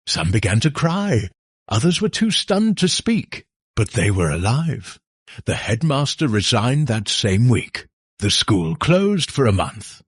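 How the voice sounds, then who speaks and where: A man narrating a scary folktale as a storyteller, in a suspenseful, theatrical way with dramatic pauses. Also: on the low side, husky, dry recording, clean studio sound.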